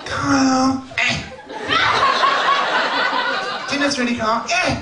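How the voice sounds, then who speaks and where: A man's voice: very high voice, flat delivery, slow. A man doing stand-up comedy, recorded live in an echoey, noisy venue.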